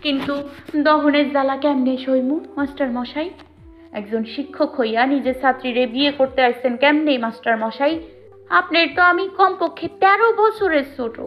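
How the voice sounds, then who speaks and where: A woman's voice: high-pitched, normal speed, lightly acted. A woman playing a young, outspoken village bride with a rural Bangladeshi accent in an audio drama, plaintive and reproachful. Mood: anguished, reproachful. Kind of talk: Bengali audio story acting. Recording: dull lo-fi audio with music.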